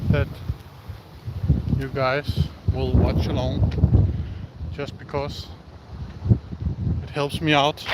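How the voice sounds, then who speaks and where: Slow, halting Danish man outdoors. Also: mid-range voice, very noisy. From Denmark. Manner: slow, halting, many pauses and fillers. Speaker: live-streaming vlogger. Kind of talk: casual live-stream chat while walking outdoors. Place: outdoors in the countryside.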